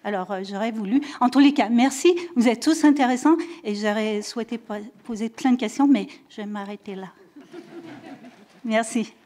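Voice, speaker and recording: A woman's voice: a little high, normal speed, mellow. A woman with a Canadian French accent asking an effusive, rambling question from the audience at a conference.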